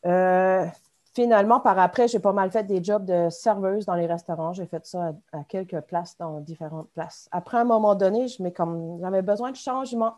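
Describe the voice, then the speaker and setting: A woman's voice: medium pitch, moderate pace, a little mellow. Chatty Acadian woman in her forties. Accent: Acadian French. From Acadian Peninsula, New Brunswick. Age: 40s. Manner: chatty, rambling, laughs at herself. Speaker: podcast guest. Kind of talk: casual interview chat.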